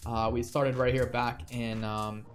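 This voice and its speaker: A man's voice: mid-range voice, level tone, a little slow, faint music behind. A man hosting a casual crypto trading livestream, rambling with lots of fillers and restarts. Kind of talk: casual live stream commentary.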